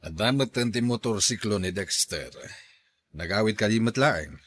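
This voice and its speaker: A man's voice: on the low side, expressive, normal speed, a bit bright. A man playing a patient, concerned older father in a radio drama, with an Ilocano accent. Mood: concerned, grumbling.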